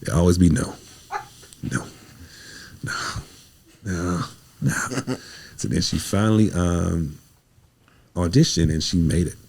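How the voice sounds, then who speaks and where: A man's voice: low-pitched, takes it slowly, creaky, pauses often, a little mellow, husky. An American man talking motivationally as a podcast guest, in an emphatic, preacherly way, often repeating phrases. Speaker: podcast guest, playwright and director. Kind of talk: motivational conversational talk.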